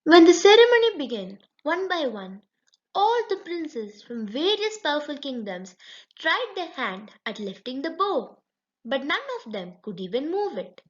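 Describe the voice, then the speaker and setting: A woman reading a scripted children's story aloud as a storyteller, with expressive delivery. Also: high voice, measured pace. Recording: dull, lo-fi recording.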